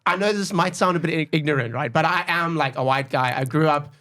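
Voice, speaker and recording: A man's voice: on the high side, quick pace, sharp tone. A man hosting a podcast, asking questions in a curious, informal way, full of filler words. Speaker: podcast host. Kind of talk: casual interview questions.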